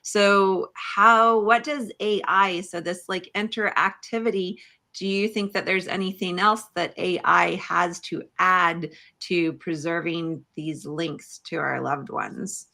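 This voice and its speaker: A woman podcast host speaks at a slow pace with noticeable vocal fry. She thinks aloud and halts often as she works toward her questions in a conversational livestream interview, in a bright, forward tone. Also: fairly low pitch, steady tone.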